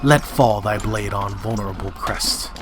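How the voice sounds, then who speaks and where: Man performing doomed warrior king, defiant, Shakespearean stage verse, low register, unhurried delivery. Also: background noise, close to an everyday voice. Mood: menacing, scornful. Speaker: amateur reader in library staff dramatic reading. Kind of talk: Shakespearean dramatic reading. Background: music and battle sound effects.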